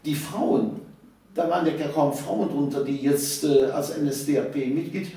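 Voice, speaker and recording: A man's voice: a little high, fairly even intonation, normal speed, mellow. Very reverberant, distant recording: male interview guest, seventies, emphatic conversational storyteller. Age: 70s. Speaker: interview guest, author of local history books. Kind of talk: conversational reminiscence. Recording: echoey room, distant microphone.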